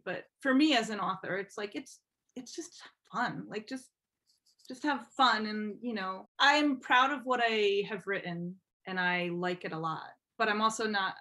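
Woman, mid-range voice, podcast guest, informal chat, halting thinking-aloud delivery. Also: medium pitch, pushed and bright. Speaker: podcast guest, fan fiction writer. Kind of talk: casual interview chat.

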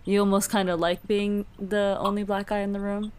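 A woman's voice: mid-range voice, flat delivery, moderate pace, a little mellow, clean tone. A woman co-hosting a casual interview, asking probing questions.

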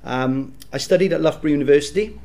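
A man's voice: a little high, fast, gravelly. Welsh man telling his story. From South Wales. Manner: warm, reflective storytelling with easy laughs. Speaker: podcast guest. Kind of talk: casual interview chat.

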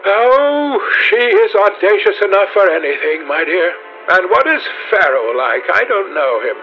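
Casting: male reader playing witty elderly society hostess, arch and exclamatory, high and animated, storybook. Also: measured pace, highs cut off, faint music behind, full character voice. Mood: incredulous, amused.

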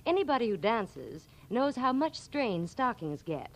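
A woman's voice: a little high, sing-song, unhurried. A woman with an American accent reading scripted advertising dialogue in 1940s radio style.

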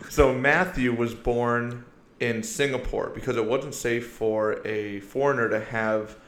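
A young man in his twenties talks animatedly as a podcast guest, laughing easily and building stories with rhetorical questions. His voice sits at an ordinary pitch. The recording carries a noticeable roomy echo. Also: fairly even intonation, a little slow, a bit bright. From born in South Korea, raised in Iowa and Asia. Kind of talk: casual chat.

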